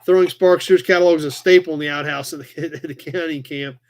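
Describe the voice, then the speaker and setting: A man's voice: fairly high pitch, fairly even intonation, fast. An American man in his forties hosting a live chat, talking casually and conversationally as he reads and answers viewer comments. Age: 40s. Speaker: live chat show host.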